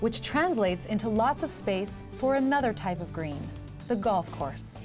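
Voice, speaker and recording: A woman reporter reading scripted voice-over narration for a travel segment in a smooth, even delivery. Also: mid-range voice, a little slow. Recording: music bed behind narration.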